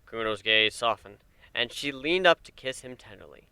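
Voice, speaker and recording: A man narrating a dramatic reading of fan fiction in an expressive, measured storytelling manner. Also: mid-range voice, clear, resonant tone, no echo.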